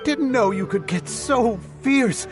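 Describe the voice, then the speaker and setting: A man's voice: very high-pitched, animated, slow, exaggerated voice. An actor voicing a friendly, easygoing man from a theater in a game. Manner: admiring, easygoing. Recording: game cutscene over a music score.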